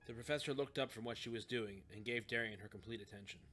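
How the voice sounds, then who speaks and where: A man's voice: medium pitch, fast talker, fairly bright tone. Male audiobook narrator over faint music. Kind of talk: scripted story narration.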